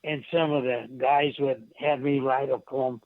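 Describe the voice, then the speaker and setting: An American man in his seventies speaking as a radio guest in a halting, plainspoken way, on a dull, muffled line. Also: medium pitch, steady tone. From United States. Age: 70s. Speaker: guest, poet and veteran. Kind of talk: interview reminiscence.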